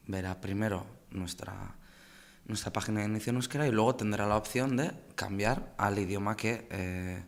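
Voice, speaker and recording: A man presenting in a webinar, explaining language settings for websites with many fillers and restarts, over a video call. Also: fairly low pitch. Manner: frequent fillers and self-corrections. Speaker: webinar presenter on web setup. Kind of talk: hesitant technical explanation.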